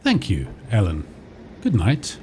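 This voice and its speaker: An actor playing a lost gentleman traveller in a radio drama, formal, polite and theatrical. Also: fairly low pitch, wildly swooping pitch, slow pace, exaggerated voice. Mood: polite, uneasy. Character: lost gentleman traveller, well-mannered, excitable sceptic. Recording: clear background noise.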